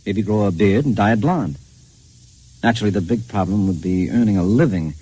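An actor playing a pragmatic, reassuring friend in a 1950s radio drama, with a casual, wry, coaxing manner. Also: male voice, fairly low pitch, brisk, warm tone, husky, poor audio. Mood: wry advice. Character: pragmatic, reassuring male friend of a troubled painter.

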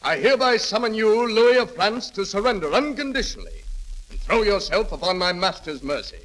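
An actor playing a pompous enemy herald, formal and declamatory, in a 1930s radio drama. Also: male voice, sits high, very animated, a little fast, a bit bright, poor audio. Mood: imperious. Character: haughty male enemy herald, formal and threatening.

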